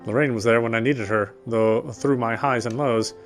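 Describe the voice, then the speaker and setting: A man's voice: medium pitch, steady tone, normal speed. A man reading a visual novel's narration aloud as he plays it. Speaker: game player reading the text aloud. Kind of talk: scripted narration read aloud in a gameplay video. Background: game music.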